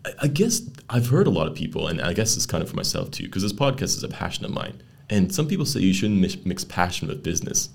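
A man's voice: low voice, very animated, fast, vocal fry, breathy edge. A young man, hosting a casual podcast interview with chatty, playful asides. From Netherlands. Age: young adult. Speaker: podcast host.

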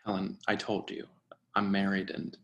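A man playing an earnest, straitlaced company man in a screenplay read, halting and apologetic. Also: low voice, completely flat delivery, creaky, muffled recording. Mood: subdued, hesitant.

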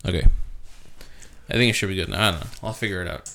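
A man's voice: low-pitched, lively intonation, fast, gravelly, sharp tone. A man hosting a casual podcast chat, laid-back, swearing often and laughing easily. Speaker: podcast host. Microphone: podcast mic.

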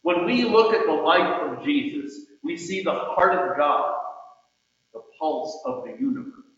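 A man preaches in a slightly high-pitched voice, taking his time over each phrase. His delivery is measured and emphatic, with deliberate pauses. The sound is heavily reverberant, as in a large hall. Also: lively intonation, fairly bright tone. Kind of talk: sermon with scripture and quoted readings. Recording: muffled, very echoey hall with long reverb.

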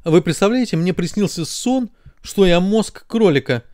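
A man's voice: high voice, lively intonation, moderate pace, a little mellow, no room sound, studio quality, voice pushed up. A man playing a fussy, bossy brain. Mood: fretful. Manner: fretful, commanding.

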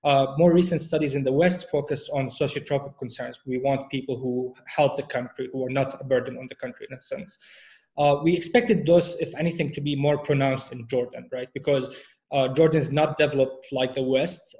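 A man, a researcher, answering interview questions in a measured way, with frequent fillers and restarts. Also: mid-range voice, darkish tone. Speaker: researcher guest.

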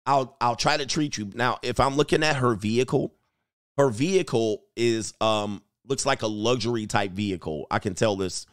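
A man's voice: mid-range voice, moderate pace, smooth, dry recording, studio quality. A man giving casual, rambling reaction commentary, joking and trailing off mid-thought. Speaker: YouTube commentator.

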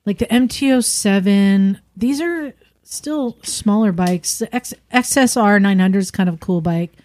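A woman's voice: mid-range voice, unhurried, darkish tone, no room sound. A woman co-hosting a casual motorcycle podcast, giving advice and steering the others. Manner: bossy, directs the others to read. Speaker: podcast co-host.

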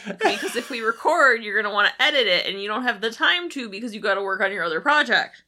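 A woman co-hosting a podcast in casual banter, wry and quick to interject with jokes. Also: on the high side, expressive, pushed and bright. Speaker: podcast co-host.

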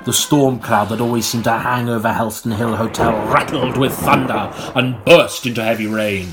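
A man narrating an audiobook in a theatrical, melodramatic style, with dramatic pauses. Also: mid-range voice, fairly even intonation, noisy background.

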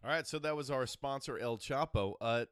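A man co-hosting a casual podcast, excitable and argumentative, swearing and rambling through his points. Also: mid-range voice, normal speed, over loud music. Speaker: podcast co-host. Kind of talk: casual banter.

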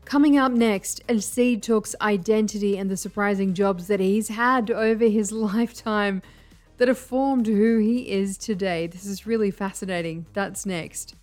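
A woman with an Australian accent, hosting a radio interview and speaking in a measured, reflective way. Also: medium pitch, a little mellow, soft background music. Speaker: radio host.

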